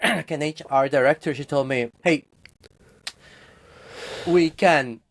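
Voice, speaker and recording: Man chatting candidly. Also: mid-range voice. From Guatemala. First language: Spanish. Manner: candid, rambling, laughs often, frequent restarts. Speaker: guest. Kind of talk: casual interview chat.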